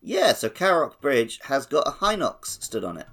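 A man's voice: mid-range voice, big pitch swings, moderate pace, fairly bright tone. A man co-hosting a podcast in casual, enthusiastic gaming discussion. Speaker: podcast host. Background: background music.

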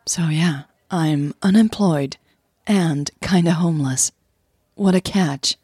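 Narrator as soft, husky, shyly joking woman. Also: deep voice, takes it slowly, dry recording, studio quality, heavily acted. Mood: shy, self-mocking. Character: shyly joking, easygoing woman in love, Emily.

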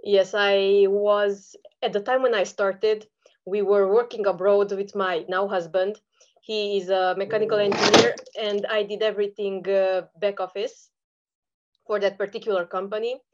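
A woman's voice: mid-range voice, flat delivery, normal speed, a little mellow, dull audio. A woman from Romania with a Romanian accent, answering conversationally as an interview guest. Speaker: podcast guest, online seller.

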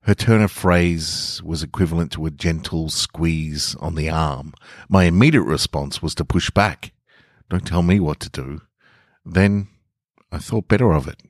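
A man's voice: deep voice, measured pace, dry recording, clean studio sound. A man hosting a podcast, talking to listeners and narrating short stories he has written. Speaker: podcast host and author reading own stories. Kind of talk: relaxed podcast introduction and short-story narration.

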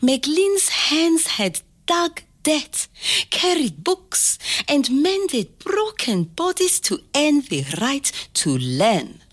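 An older woman telling a family story to a child, slow and expressive, pausing to ask gentle questions. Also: high voice. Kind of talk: oral history storytelling to a child.

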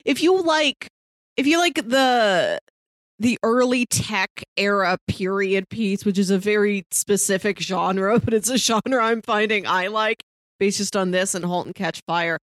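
A woman with a medium-pitched voice speaks as a podcast co-host. She reviews in a casual, conversational style, and the sound is dry with very little room echo. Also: unhurried.

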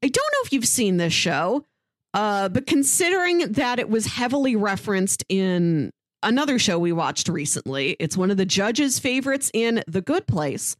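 A woman's voice: medium pitch, big pitch swings, moderate pace, dry recording. A woman co-hosting a podcast in casual banter, chatty and wry, with a playful way of talking. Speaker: podcast co-host.

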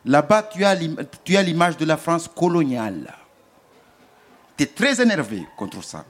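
A man's voice: fairly high pitch, very animated, unhurried. A man with a francophone African accent talking in a colloquial, plain-spoken and emphatic way in a panel conversation.

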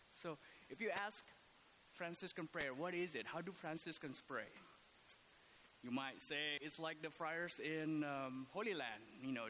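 Bright-voiced priest from Indonesia. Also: male voice, on the high side, slight background noise, low-quality recording. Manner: halting, many fillers and restarts. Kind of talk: casual podcast talk.

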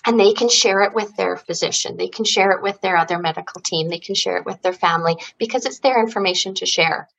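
A woman's voice: on the low side, fast talker, creaky, hardly any pauses, a bit bright, slight background noise, rough recording. A woman from Canada, a former physiotherapist who now runs an advisory service for seniors, explaining her work fluently and earnestly in an interview. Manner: articulate and earnest, fluent explanation with occasional fillers. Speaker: guest, senior care advisory service founder. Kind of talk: interview answers.

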